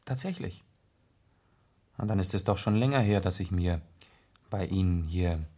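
A courteous gentleman detective in a 1970s radio play. His voice sits a little low, and he asks his inquisitive questions in a polite, measured way. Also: animated. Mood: casually inquisitive.

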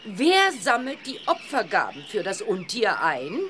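A woman playing an excitable, bold and cheeky family friend in a children's audio drama, exclamatory, slangy and theatrical. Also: medium pitch, measured pace, noisy background. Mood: sly, knowing.

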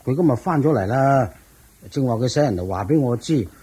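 An actor playing a wealthy old merchant and landlord in a Cantonese radio drama, with a drawn-out delivery of chuckles and sighs. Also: male voice, mid-range voice, animated, warm tone, slightly rough, rough recording. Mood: genial.

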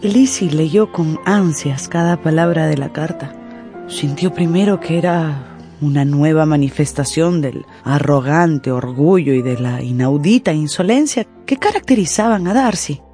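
Casting: female narrator for a period radio novel, expressive literary delivery over background music. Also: sits low, unhurried.

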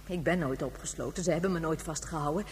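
An actress playing a very old, gentle woman who reflects and reminisces in a measured way, in a science fiction radio drama. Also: sits low, lightly acted. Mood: confiding. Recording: hollow long reverb, humming background noise.

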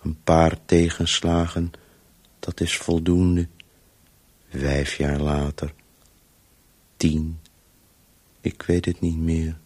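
A man's voice: extremely low pitch, extremely slow, long pauses, heavily acted. An actor playing a weary, driven man who tells a story compulsively in terse, broken phrases in a radio play. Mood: weary, narrating. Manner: fragmented, terse, monotone, urging. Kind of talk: Dutch radio play monologue.

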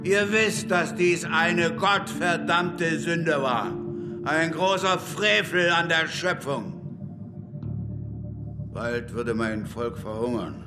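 A man's voice: on the high side, takes it slowly. An actor playing a proud, bitter Comanche warrior who tells his story in solemn, defiant oratory in a German radio play. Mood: indignant, solemn. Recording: music bed behind the voice.

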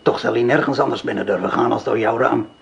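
A man playing a blunt, gravelly accomplice. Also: medium pitch, fast talker, fairly bright tone, highs cut off. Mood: calculating. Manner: blunt, down-to-earth. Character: gruff practical male accomplice. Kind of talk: radio play acting.

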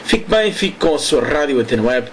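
A man with a European Portuguese accent hosting a casual radio show, hoarse from smoke, rambling and correcting himself with chuckling asides. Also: fairly high pitch, big pitch swings, fast, a little hiss or hum. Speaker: radio host.

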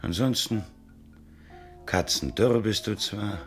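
An actor playing a blunt, wry man giving slow, measured advice in a 1980s German radio drama. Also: lowish voice. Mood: wry counsel. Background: clear music.

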